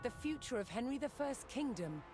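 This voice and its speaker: A woman reading scripted historical documentary narration in a measured, dramatic storytelling manner. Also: on the high side, sing-song. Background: loud cinematic background music.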